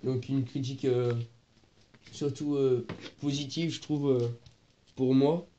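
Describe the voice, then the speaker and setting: A man's voice: medium pitch, little pitch movement, slow, mellow. A teenage boy with a stuffy cold, talking hesitantly and searching for words while filming his moped work on a phone. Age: teens. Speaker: vlogger. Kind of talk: casual moped workshop vlog. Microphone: phone camera, handheld then propped. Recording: dull lo-fi phone audio with noise.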